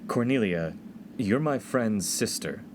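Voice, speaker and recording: A male actor plays an awkward 1950s college freshman, earnest and innocent. His slightly low voice swings widely as he gets flustered. It is comic radio-play acting with faint noise behind. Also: animated, takes it slowly. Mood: flustered, nervous.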